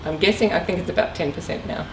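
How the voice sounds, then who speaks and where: A woman's voice: lowish voice, quick pace. A middle-aged woman speaking informally as a guest speaker, giving a career talk and answering questions. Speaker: guest speaker, former air traffic controller. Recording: echoey room, distant mic, clear noise.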